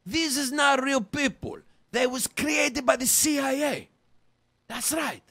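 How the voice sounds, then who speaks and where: A comedian delivering a rambling conspiracy rant in broken English, lurching between shouted outbursts and low mutters. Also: slow pace. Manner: ranting, broken English, wild swings from loud to muttered.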